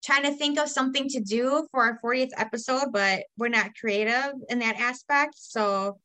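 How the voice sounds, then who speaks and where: Brief: young woman, podcast co-host, high and bright, playful banter. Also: moderate pace, no echo. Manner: upbeat, playful, jokey. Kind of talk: casual chat.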